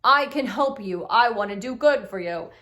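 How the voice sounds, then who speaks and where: A woman's voice: a little high, animated, moderate pace, pushed and bright. A woman voicing a big gloomy but kindly giant in a children's puppet story, speaking emphatically. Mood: helpful.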